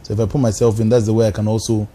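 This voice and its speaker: A man's voice: fairly low pitch, little pitch movement, very fast, warm tone, a little hiss or hum. A man who works as a DJ, a guest on a talk show, talking earnestly and restarting often. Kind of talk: casual interview talk. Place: TV studio.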